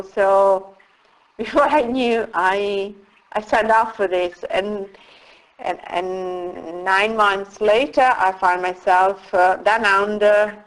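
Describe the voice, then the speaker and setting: A middle-aged woman from Italy, telling her story as an interview guest in a slow, reflective way with frequent pauses. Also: mid-range voice, low-quality recording. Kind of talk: casual interview.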